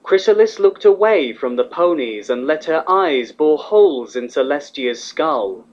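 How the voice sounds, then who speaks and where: A man narrates a written story in a fairly high male voice. The recording is a little dull and lo-fi, as if made at home. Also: animated, a little slow.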